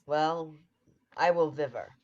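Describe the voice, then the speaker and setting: A man's voice: fairly high pitch, slow. A young man acting a wary, suspicious nobleman in a Shakespeare radio play, with a muffled sound. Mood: troubled. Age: young adult. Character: wary, suspicious Scottish nobleman, loyal in manner. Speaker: student actor.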